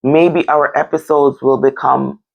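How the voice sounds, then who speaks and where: A Jamaican woman with a low voice and plenty of gravelly fry hosts a casual discussion. She talks bluntly and emphatically, repeating phrases to press her point. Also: steady tone, measured pace, dull audio. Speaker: discussion show host.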